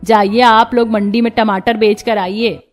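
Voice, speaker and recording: A woman's voice: a little high, steady tone, a little slow, lightly acted. A woman playing a caring, practical middle-aged village mother-in-law in a cartoon story, motherly and instructing. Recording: music behind the voice.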